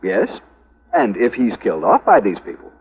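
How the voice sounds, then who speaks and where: An actor playing a fussy, anxious insurance executive in a 1950s radio drama, pedantic and easily flustered. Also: male voice, fairly low pitch, very animated, normal speed, slight background noise. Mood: worried. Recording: muffled vintage 1950s radio recording.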